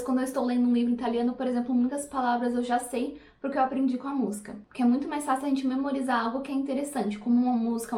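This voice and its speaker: A woman, briskly explaining her writing practice in a casual vlog. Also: high voice, a little mellow. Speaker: language-learning host. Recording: roomy, distant from mic.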